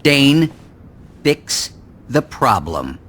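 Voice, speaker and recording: A man's voice: medium pitch, big pitch swings, very slow, fairly bright tone, a little hiss or hum, exaggerated voice. A man voicing a stern corporate board member in a video game, formal and reproving. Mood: displeased, firm. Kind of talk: video game cutscene acting.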